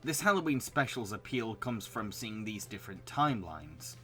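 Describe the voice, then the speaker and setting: Bright-voiced man narrating over music. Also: on the low side, very animated, moderate pace. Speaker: video essay narrator and reviewer. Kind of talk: scripted narration.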